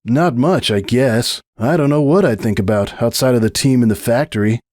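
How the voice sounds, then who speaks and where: A man's voice: mid-range voice, moderate pace, a little mellow, dry recording, studio quality, lightly acted. A man voicing a young sporty male pony, cocky but self-doubting, grumbling and sardonic, in a dramatic storybook reading. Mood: uncertain, glum.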